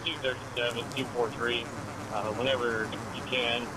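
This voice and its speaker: Male voice on police radio, average pitch, clipped phrasing, scanner sound with heavy ambient noise. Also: level tone, normal speed.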